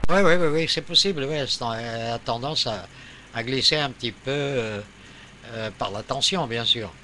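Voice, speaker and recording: A man's voice: medium pitch, normal speed, bright, forceful tone, slight background noise. A man in a casual radio chat as an amateur operator, rambling and searching for words.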